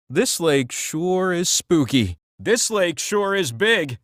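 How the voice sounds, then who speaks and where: A man's voice: high voice, fairly bright tone, no room sound, clean studio sound. A man voicing a vain, playful talking wheel that hosts a comic trivia game with theatrical, mock-grand delivery and wry asides. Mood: playful, teasing. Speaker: game show host. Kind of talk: scripted quiz hosting with comic asides.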